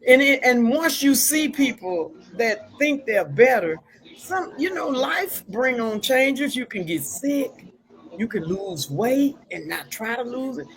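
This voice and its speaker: A woman's voice: a little high, very animated, takes it slowly. A woman hosting a talk show, telling stories and preaching scripture in a folksy, casual way.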